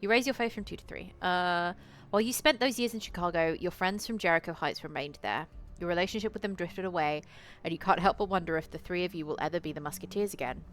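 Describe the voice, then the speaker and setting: A woman's voice: on the low side, quick pace, few pauses. A woman game streamer reading a visual novel aloud and chatting to viewers, with casual swearing and playful asides. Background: eerie game music.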